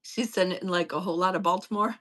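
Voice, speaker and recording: A woman from upstate New York co-hosting a livestream, chatting informally with viewers in relaxed, slangy everyday talk. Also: on the low side, fast. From upstate New York, United States. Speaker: co-host of a livestream. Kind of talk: casual livestream chat with viewers. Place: remote joint livestream, speakers in different states.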